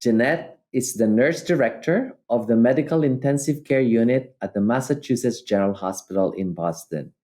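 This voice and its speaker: Mellow-voiced male podcast host. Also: medium pitch, normal speed, few pauses. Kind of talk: formal scripted introduction and interviewing.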